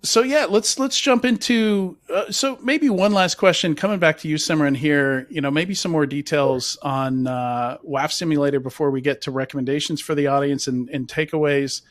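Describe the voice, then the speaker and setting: A man's voice: on the high side, moderate pace, hardly any pauses, dry recording. A man moderating a webcast panel over a video call, asking rambling questions full of fillers.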